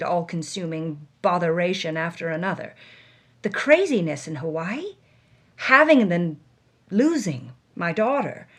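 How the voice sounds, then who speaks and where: An actress playing a defiant, worldly woman on the run, telling her story in a vivid, hard-boiled first-person style. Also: lowish voice. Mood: brooding. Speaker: actress narrating as a character. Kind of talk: dramatic first-person scripted narration.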